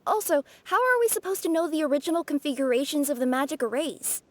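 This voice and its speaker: A woman's voice: high-pitched, fast, close to an everyday voice. An actress playing a practical, skeptical young woman in a video game, with music behind her. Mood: wary. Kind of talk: video game character acting.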